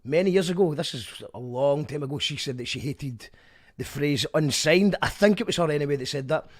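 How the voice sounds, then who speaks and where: Man, thirties, radio host, casual, rambling, animated, higher-pitched. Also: fairly quick. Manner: rambling, animated, self-correcting. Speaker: radio host and band musician. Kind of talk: casual chat.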